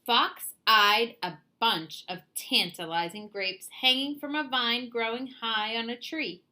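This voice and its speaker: Woman, children's storyteller, high bright voice, theatrical, deliberate expressive read-aloud.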